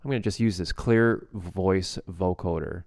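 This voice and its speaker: A man giving a casual software tutorial as a music production instructor, explaining plainly step by step. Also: low voice, a little slow, no room sound, clean studio sound.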